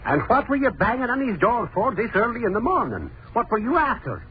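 A skeptical police questioner. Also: male voice, very high voice, wildly swooping pitch, a little fast, phone-quality sound, heavily acted. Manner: probing, skeptical. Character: suspicious male police questioner. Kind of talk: 1940s radio acting. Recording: scratchy, thin old radio broadcast.

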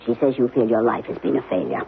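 A psychiatrist in a seventies radio drama. He is measured, probing and frank, at an average male pitch. The recording is narrow and slightly noisy. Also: very animated, gravelly. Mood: calm, probing. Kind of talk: 1970s radio drama acting. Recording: lo-fi 1970s radio broadcast, noisy.

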